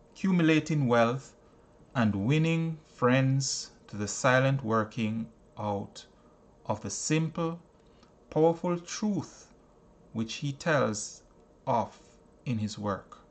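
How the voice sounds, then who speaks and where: A man reading a book aloud as scripted narration, in deliberate phrases broken by pauses. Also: mid-range voice. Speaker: audiobook reader.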